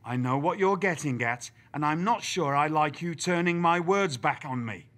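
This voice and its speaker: An actor playing a male wrestling general manager who speaks in a dry, deliberate, formal way. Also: fairly high pitch, expressive, fairly bright tone. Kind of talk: video game cutscene acting.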